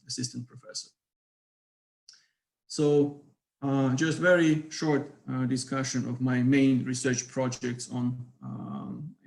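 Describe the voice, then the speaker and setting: A man with a Ukrainian accent gives an online physics lecture, pausing often on fillers. His middle-pitched voice has a gravelly edge. The call picks up an echoey room around him. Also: a little mellow. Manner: hesitant, many fillers. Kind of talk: online academic talk.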